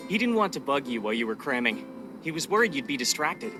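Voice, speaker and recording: A man's voice: a little high, sing-song, fast talker. An actor playing a friendly, talkative young male pilot colleague, slightly awkward and eager, in a video game. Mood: encouraging. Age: young adult. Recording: game audio with music behind. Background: music.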